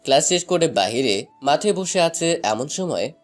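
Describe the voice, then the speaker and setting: A young man narrating a scripted first-person love story in an expressive, dramatized way. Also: a little high, unhurried. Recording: music bed under the voice. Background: background music.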